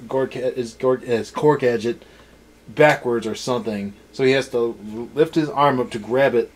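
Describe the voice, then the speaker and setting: A man's voice: medium pitch, measured pace, a little hiss or hum. A man giving a casual, unscripted episode review as a co-host, with a sarcastic, snarky way of talking. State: tired.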